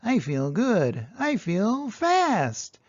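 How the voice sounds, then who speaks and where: Older guy doing a little old granny tortoise, dry voice, patient and plucky, slow storybook read, slightly dull sound. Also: sits high, huge pitch swings, voice pushed up, full character voice. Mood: pleased.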